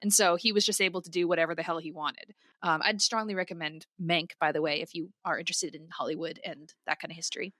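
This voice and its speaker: A woman co-hosting a podcast, giving opinions in an informal, expressive review chat. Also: lowish voice, quick pace, hardly any pauses, no echo. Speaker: podcast co-host.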